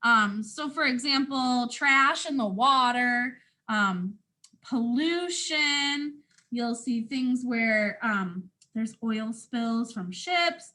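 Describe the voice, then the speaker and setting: A woman's voice: high-pitched, bright, forceful tone. A woman with a North American accent reads a picture book aloud to young children as a teacher, expressively, with simple explanations along the way. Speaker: first grade teacher.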